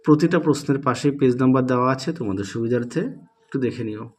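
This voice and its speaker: A man's voice: mid-range voice, very fast, a little mellow. A man teaching, dictating exam answers and often repeating key points. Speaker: teacher presenting exam solutions. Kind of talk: instructional walkthrough of questions and answers.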